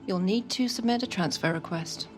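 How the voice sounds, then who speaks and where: A woman playing a firm, measured football club executive in a video game cutscene. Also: medium pitch, over music, close to an everyday voice. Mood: firm, businesslike.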